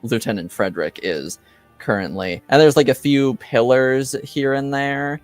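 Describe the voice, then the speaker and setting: Slow-talking, clear-voiced man as game master. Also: mid-range voice, dry recording, faint music behind. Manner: halting, searching for words. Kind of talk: improvised narration of a tabletop game.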